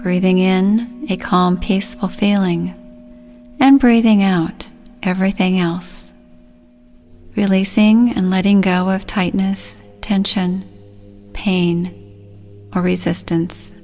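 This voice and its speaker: A woman leading a guided relaxation, speaking soothingly and gently in short, unhurried phrases. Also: mid-range voice, steady tone, soft background music.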